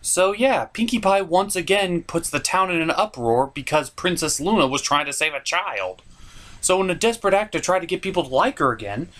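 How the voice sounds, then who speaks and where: A man's voice: high voice, animated, a little fast, a bit bright. A man giving a scripted comedic review as host, with an exasperated, sweary, ranting delivery. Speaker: puppet-show reviewer and host.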